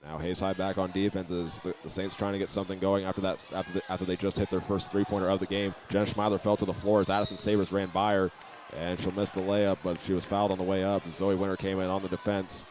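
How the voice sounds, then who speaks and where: A man doing live play-by-play of a high school basketball game, sometimes restarting his phrases, with the sounds of the game behind him. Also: level tone, fast talker, darkish tone, a little hiss or hum. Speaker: play-by-play announcer.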